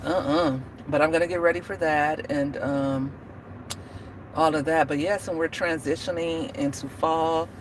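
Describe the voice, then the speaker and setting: A woman's voice: sits low, fairly even intonation, unhurried, noisy background. A woman in her forties chatting casually on a livestream, laughing often and talking to viewers by name. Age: 40s. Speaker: livestream host. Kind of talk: casual live chat.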